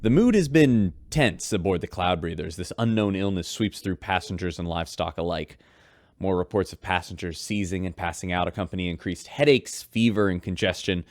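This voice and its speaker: A man plays a polished male radio host for a sci-fi audio adventure. His voice is fairly low and recorded close and dry, delivered like news presenting. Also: a little fast, no echo, natural voice. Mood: grave reporting.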